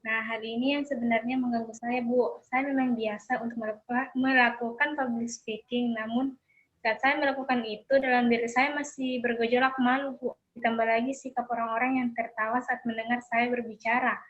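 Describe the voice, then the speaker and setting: A young woman playing a counseling client in a role-play, speaking hesitantly with soft fillers over an online call. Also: a little high, flat delivery, a little fast. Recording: dull lo-fi online call audio.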